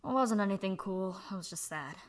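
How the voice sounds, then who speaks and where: A woman voicing a young woman speaking softly and haltingly about her past, in a fan audio drama. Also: medium pitch, expressive, close to an everyday voice. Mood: somber. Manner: soft, halting, confessional. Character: young woman, subdued, candid.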